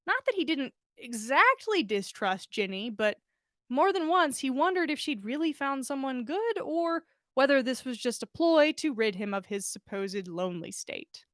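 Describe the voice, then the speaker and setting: A woman narrating a story aloud in an expressive storytelling style, as a podcast host reading fiction. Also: sits high, normal speed, dry recording, clean studio sound.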